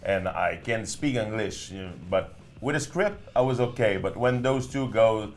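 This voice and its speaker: A French Canadian actor from Quebec whose first language is French, speaking English with a Quebec French accent in a relaxed way. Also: male voice, fairly low pitch, moderate pace.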